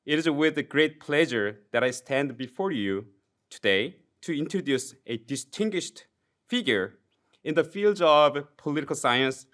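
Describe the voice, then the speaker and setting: Young Korean-accented man introducing a professor from the podium, measured and formal with light self-deprecation. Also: mid-range voice, bright, forceful tone. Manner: reads a formal tribute with occasional self-deprecating jokes, some restarts. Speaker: PhD graduate introducing a speaker. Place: university lecture hall. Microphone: podium microphone.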